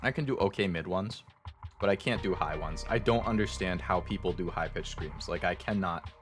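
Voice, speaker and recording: Young male streamer, very low voice, sore throat, easygoing rambling chat, soft music behind. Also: moderate pace. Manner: rambling, relaxed, many fillers. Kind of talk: casual chat with viewers.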